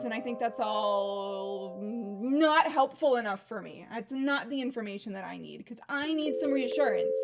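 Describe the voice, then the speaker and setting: A woman playing an anxious, self-doubting show maker in a hesitant, rambling comic confession to viewers, often repeating words. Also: a little high. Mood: anxious, pleading. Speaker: performer in a surreal variety show.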